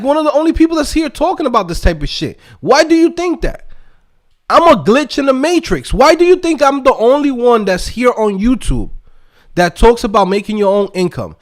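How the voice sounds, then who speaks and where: A man's voice: very high-pitched, very animated, moderate pace. A man from the Dominican Republic giving a casual motivational rant as an online host and coach, emphatic and streetwise, with lots of slang and tag questions. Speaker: YouTube host and developer coach.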